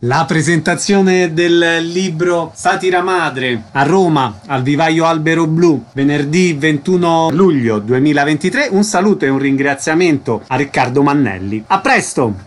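Higher-pitched male radio host. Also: a little slow, few pauses. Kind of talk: radio presenting.

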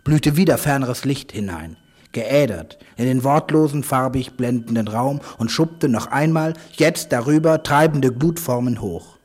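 A man's voice: mid-range voice, unhurried, a little mellow, no room sound. A man speaking poetic observations into a recorder in fragmented phrases that pile up like lists. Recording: field recording with traffic noise.

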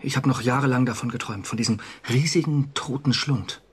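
An actor in a German radio drama playing an anxious, conscientious civil servant, confessional and agitated. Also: male voice, medium pitch, fairly quick, noisy background, natural voice. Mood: haunted, confessing.

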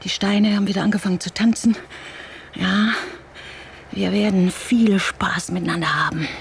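A woman's voice: mid-range voice, steady tone, moderate pace, creaky, a little hiss or hum, natural voice. An actress in a German radio drama playing a cunning, vengeful woman who talks in a taunting, intimate, theatrical way. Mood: scheming, bitter. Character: vengeful cunning woman restorer, playful and obsessive.

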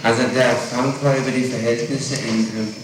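A man putting formal questions as an interrogator, in methodical, halting phrases, on an old 1960s tape recording. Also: medium pitch, flat delivery. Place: echoey room, recorded from a distance. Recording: noisy, echoey 1960s tape, distant microphone.

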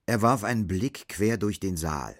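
A man's voice: lowish voice, lively intonation, normal speed. A man narrating a literary radio drama, telling a dystopian story in a sober, scripted manner. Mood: measured, sober.

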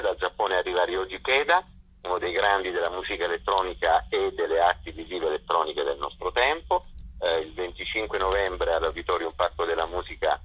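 Deep, husky man on a phone-like line. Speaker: festival director being interviewed. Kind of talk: unscripted programme presentation on radio. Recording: narrow, tinny phone-like radio line.

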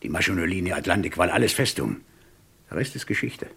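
A man's voice: low-pitched, lively intonation, fast, vocal fry, a bit bright, husky, heavily acted. A man playing an impatient, scheming spymaster in a German radio crime drama, brusque and emphatic. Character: impatient, scheming male spymaster ordering a job.

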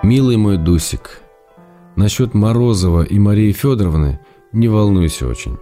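A low, warm male voice over gentle music: an actor as a writer husband in a radio play of intimate letters. He is affectionate and self-restrained. His delivery is measured, with a wry edge. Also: animated, close to an everyday voice. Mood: wry, reassuring.

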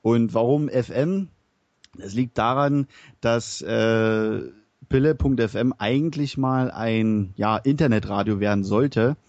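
A man's voice: medium pitch, takes it slowly, a little mellow, no room sound, lo-fi sound. A man from Berlin with a Berlin accent, co-hosting a podcast as the football expert and speaking hesitantly. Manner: hesitant, many fillers. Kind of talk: casual podcast chat.

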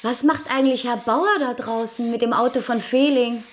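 A woman's voice: a little high, steady tone, moderate pace, a little hiss or hum, natural voice. An actress playing an eager, chatty, slightly scatty female accomplice in a radio drama.

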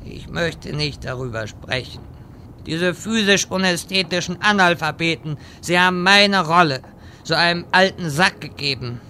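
A vain, theatrical wizard acted by a man in a parody audio drama. His voice is bright and forceful, set a little high, and he speaks in pompous, stilted phrases. Faint noise sits behind him. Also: normal speed. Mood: offended. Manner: grandiloquent, stilted wording. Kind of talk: parody radio-play acting.

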